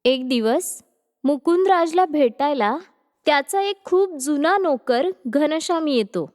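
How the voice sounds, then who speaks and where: A woman's voice: high-pitched, animated, slow pace, a little mellow. A woman narrator reading a scripted moral story. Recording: long reverb on voice.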